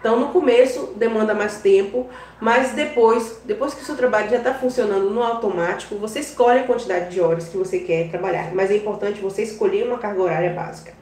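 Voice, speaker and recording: A woman with a Bahian Portuguese accent giving casual advice to her viewers, often checking in with a quick "tá?". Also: mid-range voice, a little mellow, reverberant, recorded from a distance, slight background noise. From Brazil. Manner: chatty, keeps adding "tá?" to check in with viewers. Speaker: online business vlogger.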